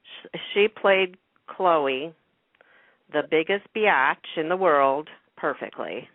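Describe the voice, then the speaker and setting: Woman, very low voice, casual radio panel chat, dry narrow phone sound. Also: takes it slowly, no echo. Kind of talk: casual chat about TV soaps. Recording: thin phone-like radio line.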